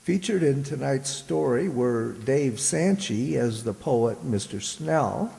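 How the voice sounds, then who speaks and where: A man announcing the credits of an amateur radio drama, in a measured, playfully formal way. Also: medium pitch, expressive, gravelly, warm tone. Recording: echoey hall with background noise.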